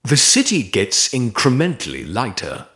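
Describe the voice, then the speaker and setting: A man narrating a scripted romance story in a deliberate, dramatic way with short measured phrases. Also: medium pitch, huge pitch swings, a bit bright.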